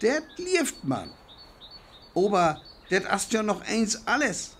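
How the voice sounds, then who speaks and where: A man's voice: high-pitched, wildly swooping pitch, slow, fairly bright tone, slight background noise, heavily acted. An actor with a North Frisian accent playing a bitter, agitated survivor in a radio play, rambling intensely about his schemes. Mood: grim, insistent.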